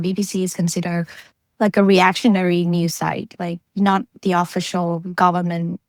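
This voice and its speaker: A woman's voice: lowish voice, darkish tone, no room sound, studio quality. A young Vietnamese woman speaking English with a Vietnamese accent, telling her story as an interview guest in an earnest, reflective way, often adding "like". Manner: earnest, reflective, frequent "like" fillers. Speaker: guest, journalist and researcher.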